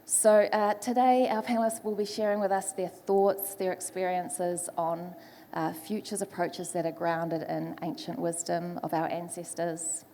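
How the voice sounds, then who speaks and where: A woman's voice: fairly low pitch, little pitch movement, a little slow, in a large hall, slight background noise. A woman from New Zealand with a New Zealand accent, moderating a conference panel, with many ums as she introduces the speakers. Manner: warm, many ums, greets in Māori. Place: large conference hall.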